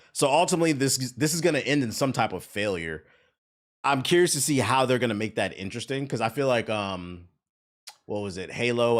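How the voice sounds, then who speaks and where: An American man doing casual live-stream reaction commentary in a slangy, profane way. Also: on the low side. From US East Coast. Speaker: streamer reacting to game trailers.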